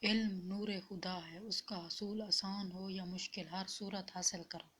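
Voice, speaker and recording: Slow-paced woman reciting quotes. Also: mid-range voice, fairly even intonation, fairly bright tone, slightly rough. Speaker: narrator. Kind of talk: scripted narration of spiritual quotes.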